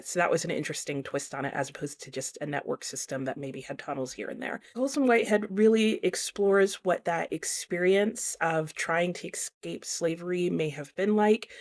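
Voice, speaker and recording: A woman's voice: fairly low pitch, darkish tone. A woman talking casually and explaining her thoughts, as a book reviewer speaking in a vlog. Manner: conversational, explanatory.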